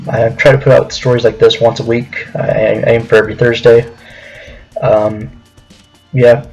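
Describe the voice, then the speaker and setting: A man's voice: mid-range voice, flat delivery, moderate pace, darkish tone, husky, lo-fi sound, faint music behind. A man telling a personal story to viewers in a casual, hesitant, conversational way, as a video storyteller.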